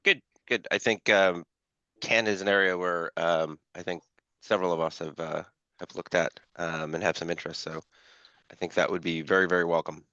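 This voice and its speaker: A man chairing an online working-group call, his voice a little scratchy from allergies, talking haltingly with many fillers. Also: low-pitched, steady tone, bright, forceful tone, dry recording. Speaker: meeting chair. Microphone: video call. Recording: dull lo-fi call audio.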